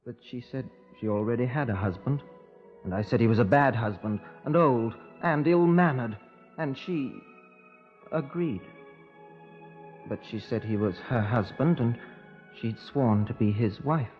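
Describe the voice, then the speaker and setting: A man's voice: mid-range voice, a little slow, faint music behind, lightly acted. Man acting a lovestruck young British handyman, old radio. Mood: earnest, subdued. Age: young adult. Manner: emotional, earnest, dramatic. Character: lovestruck, volatile young English handyman and chauffeur. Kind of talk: 1950s radio crime drama acting. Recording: muffled old radio broadcast recording.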